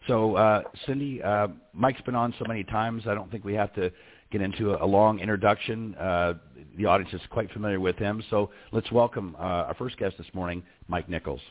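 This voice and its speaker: A very low-voiced man hosts a talk radio show, his voice gravelly and hoarse. He chats in a friendly way, full of fillers, and comes through a thin phone-like line. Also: brisk. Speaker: show host. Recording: tinny phone-line audio.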